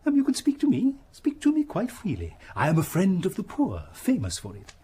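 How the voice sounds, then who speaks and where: A self-satisfied, wealthy English gentleman in period radio drama. The voice is badly rasped and husky, set fairly high, with lively swings of pitch. He pontificates grandly, then dithers and blusters. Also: fairly quick, full character voice. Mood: condescending. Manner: pompous, blustering, hesitant, grandly sermonizing.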